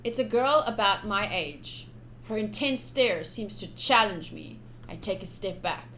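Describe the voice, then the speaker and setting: A woman streaming a game, reading story text aloud in an expressive, chatty way with casual asides. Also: medium pitch, unhurried, a little hiss or hum. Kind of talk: live visual novel playthrough, reading aloud with casual asides. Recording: soft music behind voice. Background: game music.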